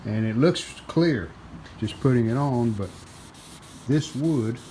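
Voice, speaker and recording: A man giving casual, plainspoken hands-on narration as the host of a repair video, unscripted and pausing mid-sentence. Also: medium pitch, mellow, poor audio. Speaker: host of a radio restoration video channel. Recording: clear background noise, room ambience.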